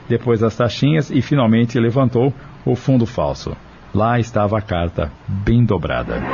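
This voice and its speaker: A man's voice: mid-range voice, mellow. A man reading scripted narration for an audio novel. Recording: dull lo-fi radio drama sound.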